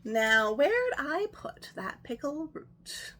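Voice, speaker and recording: A woman voicing a cheerful, messy female witch in a storybook, with shrill exclaiming lines. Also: big pitch swings, slow, a bit bright, slight background noise, full character voice. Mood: wondering.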